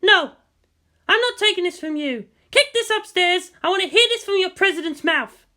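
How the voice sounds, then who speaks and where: A narrator doing a high, fierce female commander, forceful. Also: expressive, moderate pace, full character voice. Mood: hard, defiant. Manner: shouting, swearing, defiant. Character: fierce, furious female military commander defying betrayal.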